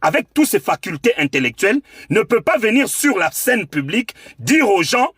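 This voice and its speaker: High-pitched, husky Congolese man, animated. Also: moderate pace, no room sound, studio quality. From Democratic Republic of the Congo. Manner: rhetorical, emphatic, repeats phrases for effect. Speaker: political commentator. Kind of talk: impassioned political monologue.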